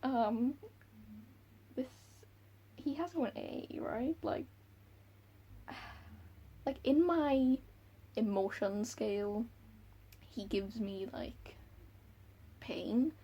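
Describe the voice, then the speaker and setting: Brief: Welsh woman in her twenties, book vlogger, husky and gravelly, chatty with frequent giggles. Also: fairly high pitch, drawn-out words, long pauses, dull audio. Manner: giggly, chatty, self-deprecating. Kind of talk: casual chat to camera. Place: room at home by a window.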